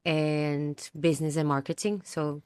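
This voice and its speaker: A woman's voice: deep voice, little pitch movement, measured pace, darkish tone, slight background noise. A teacher, a woman whose first language is Portuguese, speaking in a casual podcast interview, thoughtful and laughing lightly between points.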